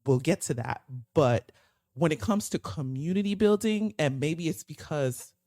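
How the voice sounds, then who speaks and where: A man's voice: fairly high pitch, lively intonation, a little slow, a little mellow, no echo, clean studio sound. A young American man co-hosting a podcast, talking emphatically and thinking aloud in casual discussion. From United States. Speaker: podcast co-host.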